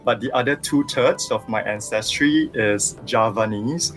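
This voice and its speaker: Singaporean sculptor on a phone line. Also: male voice, on the low side, a bit bright, soft background music. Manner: thoughtful, measured explanations. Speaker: visual artist and sculptor guest. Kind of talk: phone-in radio interview.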